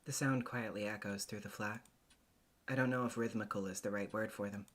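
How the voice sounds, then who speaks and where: A man narrating a visual novel in the first person, acting it out in an introspective, hesitant, deliberate way. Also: lowish voice, fairly even intonation, a bit bright. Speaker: voice actor, narrator.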